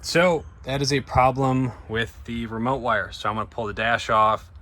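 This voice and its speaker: A man's voice: medium pitch, steady tone, moderate pace, sharp tone. A man giving a casual, informal hands-on narration to viewers, thinking aloud as he works on a vehicle. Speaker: DIY car vlogger and host. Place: in and around a van.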